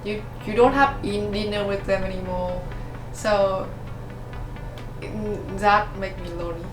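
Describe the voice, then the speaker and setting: A woman from Vietnam with as an answers in careful, hesitant English full of "like" and "um". Her pitch is ordinary for a woman. The sound is roomy, with music playing underneath. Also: fairly even intonation, a little slow. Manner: hesitant, many "like" and "um" fillers. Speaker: international student interviewee. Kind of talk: interview answers.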